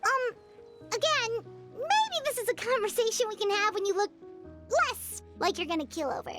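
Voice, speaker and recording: Very high, squeaky fairy girl. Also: animated, normal speed, music in the background. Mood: concerned. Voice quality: squeaky, childlike. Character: small chirpy floating fairy girl companion. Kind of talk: scripted video game voice acting.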